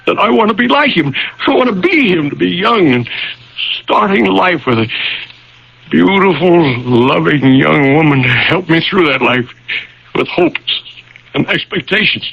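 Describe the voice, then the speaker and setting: An actor playing an anguished, self-loathing older professor in a vintage radio drama, speaking in halting, repeated phrases. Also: male voice, a little high, lively intonation, creaky, husky, exaggerated voice. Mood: anguished confession. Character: tormented, self-loathing old professor, father of the groom. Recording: dull vintage radio broadcast recording.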